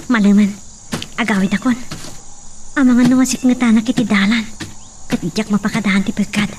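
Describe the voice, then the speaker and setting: A young woman acting the daughter in an audio drama, emotional and shouting at times. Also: mid-range voice, steady tone, brisk. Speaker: voice actor playing a young daughter. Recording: distant mic, noisy background.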